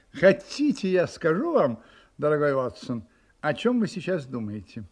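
An actor playing a brilliant, ironic gentleman detective in an audio drama, sardonic, polished and self-assured. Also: fairly high pitch, sing-song, measured pace, faint music behind. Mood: amused, knowing. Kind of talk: theatrical Russian audio drama dialogue.

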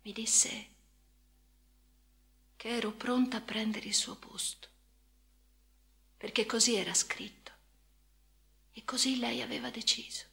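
An actress playing a haunted, obsessive woman in an intimate radio drama monologue, breathy and often whispering, with slow, halting, intense phrasing. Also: fairly high pitch, fairly even intonation, pushed and bright, full character voice. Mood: hushed, reverent. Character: haunted, obsessive female amputee confiding in her chosen successor. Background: music.

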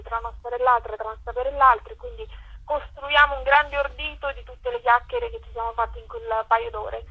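A woman's voice: high voice, bright, forceful tone, slightly rough, poor audio. A woman speaking as a guest in a radio interview, explaining her project in enthusiastic, long flowing sentences.

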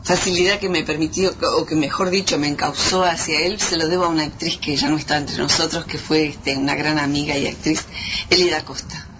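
A Uruguayan woman, an actress being interviewed, reminiscing conversationally in a halting but emphatic way. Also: low-pitched, fairly even intonation. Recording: dull, noisy lo-fi radio recording.

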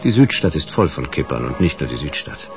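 Very deep-voiced, wry private detective. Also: male voice, sing-song, brisk, gravelly, over music, heavily acted. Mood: sardonic, narrating. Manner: laconic, sardonic. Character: wry, world-weary hard-boiled male private detective. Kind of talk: noir radio play with first-person narration.

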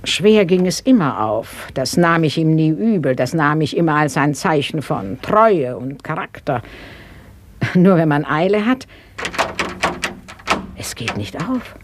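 Proud old lady, swooping and slow. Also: deep voice, big pitch swings, slight background noise. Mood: musing, peevish. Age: older. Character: proud, pious old mistress of the house, easily aggrieved. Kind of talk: 1960s German radio play acting.

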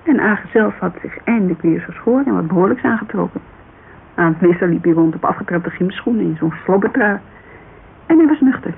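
A woman's voice: medium pitch, a little fast, vocal fry. A woman playing a chatty, loyal businesswoman giving a witness account in a naturalistic radio drama, telling it informally with digressions. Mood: amused, candid. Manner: informal, digressive, animated storytelling. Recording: muffled, hissy old radio recording.

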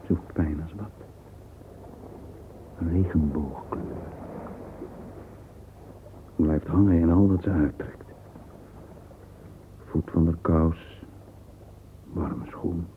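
An actor playing a musing, sensual, curious middle-aged man in a radio drama monologue, thinking aloud in halting murmurs. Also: extremely low pitch, full character voice. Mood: pensive, murmuring. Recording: muffled phone-like old recording, faint hiss.

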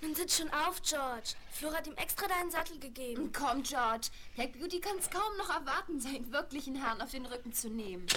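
A woman playing a lively, horse-loving young girl with a girlish, exclamatory way of talking in a 1970s German radio play. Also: high voice, a little fast, hardly any pauses. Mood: playful, proud. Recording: crackly 1970s vinyl rip with clicks.

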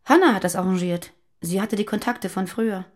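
A fugitive woman played by an actress in a radio drama speaks at a medium pitch. She is guarded and afraid, a former militant confiding her story haltingly and naturally. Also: lightly acted.

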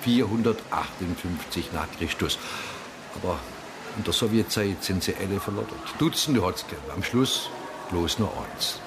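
Ein Schauspieler spielt in einem Hörspiel einen redseligen, trocken-ironischen Ermittler. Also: male voice, deep voice, normal speed. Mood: chatty. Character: chatty, wry male sleuth on a stakeout. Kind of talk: radio play dialogue. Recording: noisy ambience behind.

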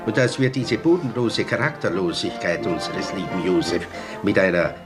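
A man's voice: low-pitched, moderate pace, loud music behind the voice, lightly acted. A man playing a self-congratulating politician in a radio drama, speechifying pompously. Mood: smug.

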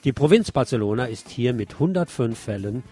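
A man's voice: medium pitch, animated, a little fast, loud music behind the voice. A man presenting scripted radio news, reading reports and adding wry, sardonic asides.

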